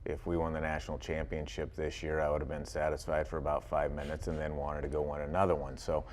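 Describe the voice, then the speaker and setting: A man with an extremely deep voice talks as a football coach in a studio interview. He is conversational and rambling, leaning often on filler phrases. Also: level tone, quick pace, darkish tone, a little hiss or hum. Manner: rambling, frequent "you know".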